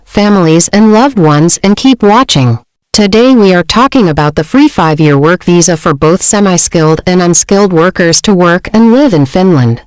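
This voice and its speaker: Female host, lowish voice, steady scripted read, dry close mic. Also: clean tone, dry recording. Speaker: channel host. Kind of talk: scripted informational narration.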